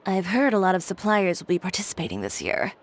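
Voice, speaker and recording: An actress playing a poised, businesslike adult fashion designer with a composed, dry manner. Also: female voice, mid-range voice, very animated, fast, close to an everyday voice. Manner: composed, dry, matter-of-fact. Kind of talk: video game voice acting. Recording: game audio with loud music.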